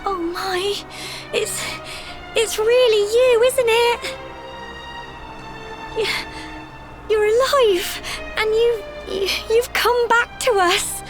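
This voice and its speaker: A gentle young villager in a video game cutscene. Her voice sits high and she speaks slowly, with earnest, emotional hesitations. Music swells clearly behind her. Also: heavily acted. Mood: overwhelmed, tearful. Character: gentle, tender-hearted young village woman.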